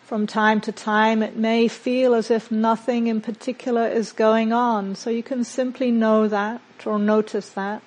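A woman meditation teacher leading a guided mindfulness practice in a gentle, unhurried manner with long pauses between phrases. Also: on the high side, little pitch movement.

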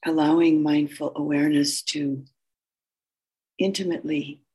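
Female meditation teacher: gentle, husky delivery, very even pitch, unhurried phrases with long pauses between them. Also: poor audio. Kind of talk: guided meditation instruction.

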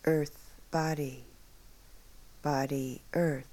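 A woman meditation teacher leading a guided meditation in short, unhurried phrases with long pauses, often hushed at the ends. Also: low-pitched, little pitch movement.

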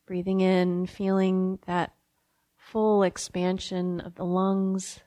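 A woman leading a guided meditation as a teacher, speaking gently and unhurriedly with pauses. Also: medium pitch, completely flat delivery, no room sound.

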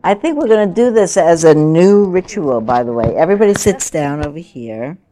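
A woman's voice: deep voice, animated, normal speed, slight background noise. A woman in her sixties, a meditation teacher, chatting informally and laughing with her group. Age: 60s. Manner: warm, chatty, laughing often. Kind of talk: informal talk to a retreat group.

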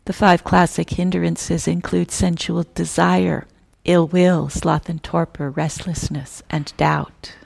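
A woman teaching a guided meditation in a measured, gentle manner, with long pauses between phrases. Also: fairly low pitch, flat delivery, vocal fry.

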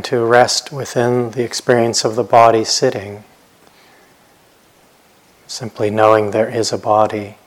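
A man guiding a meditation as a teacher, speaking gently in short, unhurried phrases with long pauses. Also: mid-range voice. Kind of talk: guided meditation instruction.

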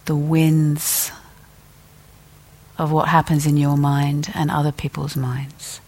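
A woman teacher leading a guided meditation in a gentle, deliberate way, leaving long pauses between phrases. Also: low voice, flat delivery.

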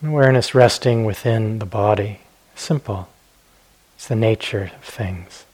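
A man teaching guided meditation in a gentle, unhurried manner, leaving long pauses between phrases. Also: lowish voice, rough recording.

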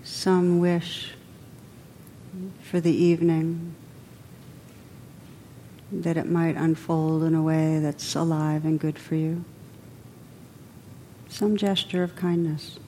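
Slow, low, soft-toned woman guiding meditation. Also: level tone, a little hiss or hum. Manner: gentle, unhurried, pausing between phrases. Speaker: meditation teacher. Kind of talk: guided meditation.

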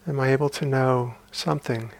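Man leading guided meditation in an even tone. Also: mid-range voice, creaky. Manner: calm, unhurried, with long pauses between short phrases. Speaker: meditation teacher.

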